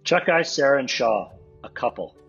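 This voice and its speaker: A man with a husky voice reading stage directions at a script table read. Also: medium pitch, lively intonation, normal speed, a bit bright, dull audio, soft background music.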